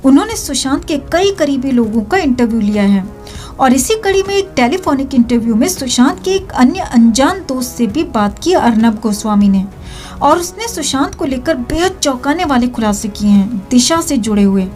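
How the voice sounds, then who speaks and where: A woman's voice: high-pitched, expressive, normal speed, darkish tone, faint music behind. A woman with an Indian accent reading scripted news to viewers in an emphatic, sensational manner. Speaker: video news narrator.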